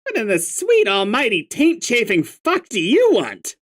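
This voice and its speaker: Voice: mid-range voice, expressive, moderate pace, fairly bright tone, pitched above the performer's own voice, natural voice. A reader voicing an irritable young male troll who rants and hurls insults in exasperation. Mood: irritated. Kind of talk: reading a webcomic aloud with voices.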